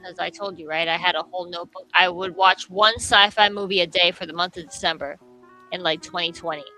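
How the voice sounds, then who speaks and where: A man's voice: high voice, fairly even intonation, brisk, pushed and bright, soft background music, low-quality recording. A man co-hosting a casual chat, rambling along with many fillers. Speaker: co-host.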